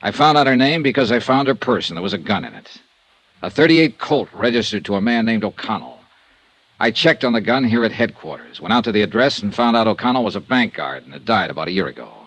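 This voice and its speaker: An actor playing a tough, plain-spoken insurance investigator in a 1950s radio detective drama, narrating and talking in a clipped, matter-of-fact way. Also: male voice, mid-range voice, a bit bright, dry recording, rough recording.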